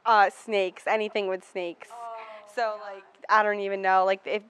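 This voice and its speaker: A woman's voice: medium pitch, level tone, normal speed, a bit bright. A woman in her twenties answers questions for a student show. She laughs often and talks about herself in a self-deprecating, casual way. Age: 20s. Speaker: student interviewee.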